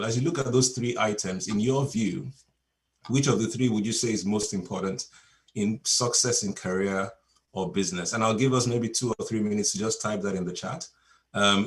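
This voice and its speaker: A man from Nigeria, a native Yoruba speaker, giving a relaxed, story-filled coaching talk over a video call. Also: lowish voice. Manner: storytelling, relaxed, chuckles between points.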